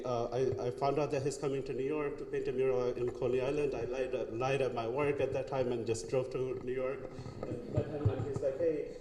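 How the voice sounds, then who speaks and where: A man's voice: medium pitch, dark tone. A young man from Bangladesh giving an artist talk in a gallery, hesitant, with many fillers and restarts. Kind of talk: casual artist talk, answering questions. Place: gallery hall. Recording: echoey hall, noisy room.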